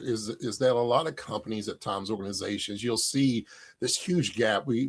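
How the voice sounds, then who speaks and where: A man's voice: lowish voice, slightly rough. Upbeat male interview host. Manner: upbeat, quick, loose phrasing with fillers. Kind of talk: casual interview hosting.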